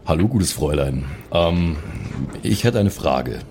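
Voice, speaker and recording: A man's voice: low voice, lively intonation, unhurried, noisy background, pitched below the performer's own voice. A man playing a cocky, simple male ex-soldier in a tabletop game, with a casual, would-be charming manner. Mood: smooth, cocky. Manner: casual, laid-back, mock-charming.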